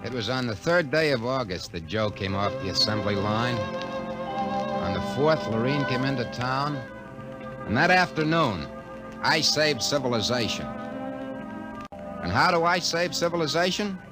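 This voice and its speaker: A man's voice: medium pitch, sing-song, measured pace, long pauses, over loud music. A man playing an easygoing middle-aged repairman in a 1950s radio drama, with chatty salesman patter. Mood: wry.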